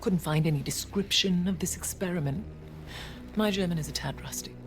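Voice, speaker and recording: An actress playing a grand, worldly dame who collects antiquities and dabbles in spying in a video game, speaking in an arch, genteel, droll way. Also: female voice, fairly low pitch, brisk, natural voice. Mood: wistful, wry. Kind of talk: video game cutscene acting.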